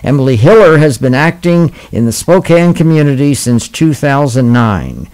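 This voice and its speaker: A man's voice: mid-range voice, big pitch swings, moderate pace, a little mellow, clear, resonant tone. A man giving a scripted announcement as host of a radio theatre programme. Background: faint music.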